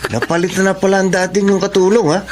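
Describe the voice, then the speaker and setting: A man plays a bored, mischievous young sidekick in a Filipino radio drama. His voice is high, eager and sly, with casual slang. Clear noise runs through the recording. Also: flat delivery, quick pace, close to an everyday voice.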